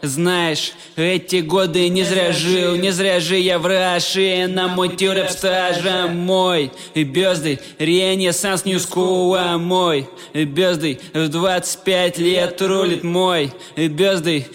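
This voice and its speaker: Boastful young man rapping, flat delivery. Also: high-pitched, slow pace, faint music behind. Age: 20s. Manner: boastful rapping with yo and bro ad-libs. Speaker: rapper. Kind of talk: rap song.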